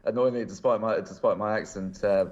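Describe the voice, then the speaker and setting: Low English man on a dull line. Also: fairly even intonation, a little fast, darkish tone. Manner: good-humoured, self-deprecating. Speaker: radio panel guest.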